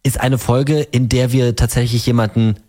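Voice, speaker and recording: A man from Berlin co-hosting a talk podcast, unhurried and full of fillers. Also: mid-range voice, fairly even intonation, dark tone. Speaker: podcast co-host. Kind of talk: casual chat.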